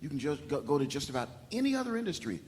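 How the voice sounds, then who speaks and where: A man speaking loudly and emphatically from the audience during a panel discussion. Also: fairly high pitch, big pitch swings, racing, a little hiss or hum. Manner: loud, emphatic. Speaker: audience member. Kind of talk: public comment at a panel. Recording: long hall reverb.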